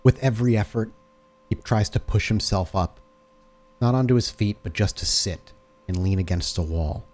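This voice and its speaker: A man's voice: on the low side, normal speed, darkish tone. A man narrating a tabletop roleplaying game as storyteller, describing scenes like film shots.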